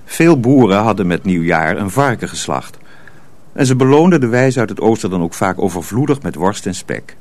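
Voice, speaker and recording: A man's voice: on the low side, expressive, normal speed, a little mellow. A man narrating a radio drama as a scripted storyteller.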